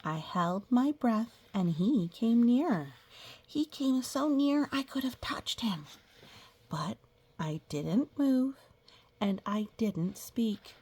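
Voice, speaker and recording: Husky, slow-paced woman reading to children. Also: fairly high pitch, lively intonation. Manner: gentle, instructive, pausing to explain and ask questions. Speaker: children's story reader. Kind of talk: read-aloud picture book with casual asides to young children.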